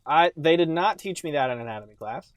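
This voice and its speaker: A man's voice: on the high side, lively intonation, a little fast, no echo. A man co-hosting a comedy podcast, chatting casually with hesitant, dry humour. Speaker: podcast co-host.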